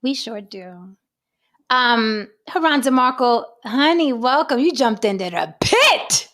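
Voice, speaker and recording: A woman's voice: a little high, animated, slow pace, a bit bright. A woman hosting a casual livestream chat, blunt and profane. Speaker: host.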